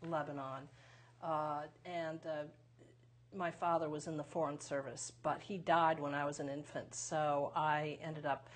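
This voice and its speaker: Low-pitched woman in her sixties, guest in a studio interview, unhurried and reflective, pauses often. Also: flat delivery. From United States (Massachusetts). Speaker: guest, lawyer and author. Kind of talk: conversational interview.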